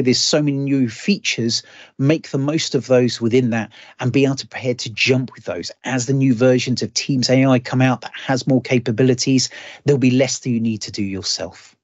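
Husky-voiced man wrapping up a demo. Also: mid-range voice, dry recording. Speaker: community call presenter. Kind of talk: wrapping up a tech demo talk. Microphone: computer mic on a video call. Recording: lo-fi online call audio.

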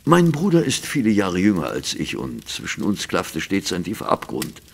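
Grave gentleman, low and expressive. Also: creaky, a little hiss or hum, full character voice. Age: middle-aged. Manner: formal, measured, earnest. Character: grave, proper, practical gentleman businessman past middle age. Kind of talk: German audio drama acting.

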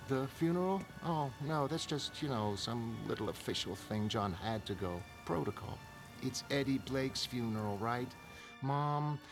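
A man's voice: mid-range voice, animated, measured pace. A man voicing a sardonic, impatient young woman, a grown daughter with a blunt, colloquial manner, in a comic-book drama. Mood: evasive. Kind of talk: dramatic comic-book narration in character voices. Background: music.

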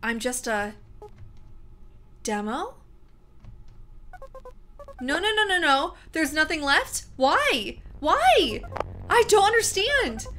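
Woman voicing a male AI companion, lonely, clingy, high voice, bright, pleading. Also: lively intonation, fairly quick, slight background noise. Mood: desperate. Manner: sweetly welcoming, then pleading and desperate. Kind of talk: reading game dialogue aloud.

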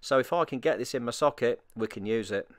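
A man narrating a product review as a relaxed, matter-of-fact voice-over. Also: mid-range voice, a little fast, no echo, clean studio sound.

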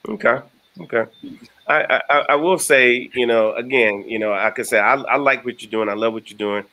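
Bright-toned American man hosting. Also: medium pitch, moderate pace. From United States. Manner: earnest, stammering restarts. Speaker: host. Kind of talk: casual video-call interview. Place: indoor room. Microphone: video call.

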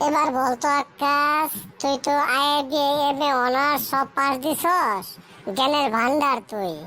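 A woman's voice: high voice, measured pace, faint music behind. A woman voicing a cheerful, teasing cartoon child who quizzes friends. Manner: lively, teasing, mixes in English phrases.

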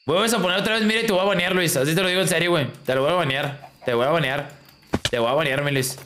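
Higher-voiced young Mexican man streaming. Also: racing, fairly bright tone, a little hiss or hum. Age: 20s. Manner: slangy, informal. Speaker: video game streamer. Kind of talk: casual livestream chat. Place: indoors in a small room at a desk.

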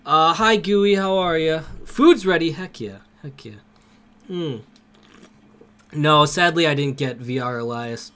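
A young man with a cold, streaming a game from home on a headset and greeting his chat by name with excited outbursts. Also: fairly high pitch, unhurried, smooth. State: has a cold.

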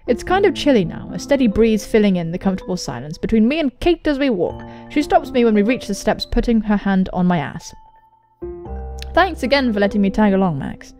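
A young British woman doing game commentary and reading aloud, excitable and sweary, exclaiming often. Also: medium pitch. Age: young adult. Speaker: gaming video host. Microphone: close studio mic. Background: music.

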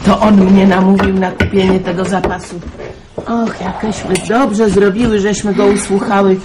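An actress playing a careworn, kindly middle-aged aunt in a radio play, homely and chatty, with sighs. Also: female voice, medium pitch, level tone, normal speed, close to an everyday voice. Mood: tender, homely. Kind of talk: 1990s Polish radio play acting. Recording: noisy radio drama recording with clicks.

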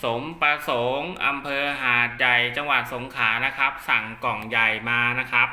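A man's voice: medium pitch, fairly even intonation, normal speed, pushed and bright, echoey room. A man casually demonstrating equipment step by step, chatty and polite, like a seller testing a product for a buyer.

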